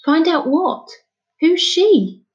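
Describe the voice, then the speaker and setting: Woman voicing an earnest, high-pitched, pleading young boy. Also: expressive, takes it slowly, dull audio, exaggerated voice. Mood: startled, questioning. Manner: breathless, pleading, insistent.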